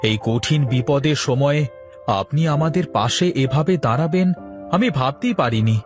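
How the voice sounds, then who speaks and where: A man's voice: medium pitch, expressive, normal speed, over music, natural voice. A man playing a humble, hospitable village man who speaks courteously in a cartoon moral story. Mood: grateful, respectful. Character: humble, hospitable village man, respectful elder-brother type. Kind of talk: Bengali cartoon dialogue.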